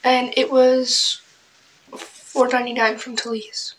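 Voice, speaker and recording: A young woman chatting casually about her book haul, clearing her throat often as she loses her voice, her asides trailing off soft and breathy. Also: a little high, level tone, takes it slowly, a little hiss or hum, rough recording. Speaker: book vlogger. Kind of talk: casual book haul chat with read-aloud summaries.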